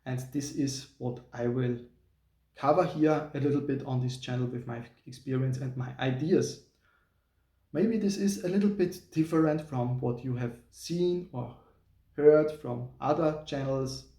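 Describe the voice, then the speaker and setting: A man's voice: medium pitch, unhurried, warm tone. A man in his thirties from Austria, a native German speaker with an Austrian German accent, talking to camera in a careful, hesitant non-native English with small restarts. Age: 30s. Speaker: channel host, software engineer. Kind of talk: casual talking-head vlog. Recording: roomy sound from a distant mic.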